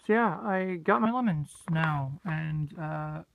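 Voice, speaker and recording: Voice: mid-range voice, slow pace, creaky, phone-quality sound, a little hiss or hum. A vlogger chatting casually into a handheld phone in a playful, rambling way. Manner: playful, rambling, breaks into silly singing. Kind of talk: casual vlog chat. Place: handheld while walking outdoors, in a store and in a room.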